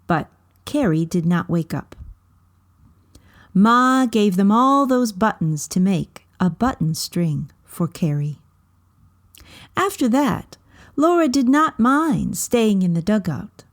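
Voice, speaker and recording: A woman reading a children's story aloud as scripted narration, in measured, deliberate phrases with frequent pauses. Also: medium pitch, darkish tone.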